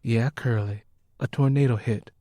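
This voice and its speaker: Man acting devout mother, Southern accent, weary, steadfast, earnest, gentle reproof, dry recording. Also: medium pitch, steady tone, moderate pace, no echo, lightly acted. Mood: worried. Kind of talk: audiobook character acting.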